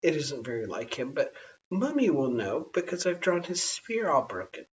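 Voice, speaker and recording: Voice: medium pitch, sing-song, normal speed, close to an everyday voice. An adult voicing an eager little girl, distant and hissy. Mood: cheerful, explaining. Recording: dull, hissy, distant home recording.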